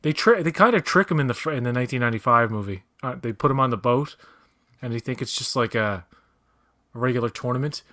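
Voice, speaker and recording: A man's voice: mid-range voice, animated, fast talker, fairly bright tone. A man chatting casually during a movie watch-along, blunt and opinionated, swearing freely. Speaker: guest co-watcher.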